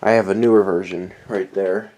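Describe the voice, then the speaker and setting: A man with a lowish voice gives a casual, rambling show-and-tell of a fan from his collection. He sounds a little nasal and tired after dental work. He often stops and restarts as he talks. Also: steady tone, moderate pace, mellow, poor audio. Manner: rambling, hesitant, with many restarts. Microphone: camera on a tripod.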